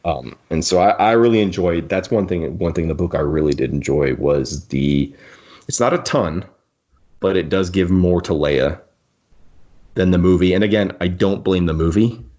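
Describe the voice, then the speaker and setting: Man, deep voice, jokey casual podcast chat, muffled lo-fi recording. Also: moderate pace, dark tone. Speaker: podcast host. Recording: dull, lo-fi audio.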